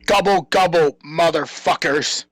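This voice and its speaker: Guy with a slightly high voice tossing wry little remarks into a podcast chat. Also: measured pace, a bit bright. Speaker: podcast panelist. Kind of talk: casual chat, short interjections.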